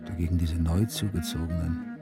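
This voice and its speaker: Low-voiced, weary detective. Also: male voice, normal speed, loud music behind the voice. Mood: brooding. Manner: dry, sardonic, gruff. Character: weary, curt male police detective, farmer's son. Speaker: lead character and narrator. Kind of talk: radio drama acting and narration.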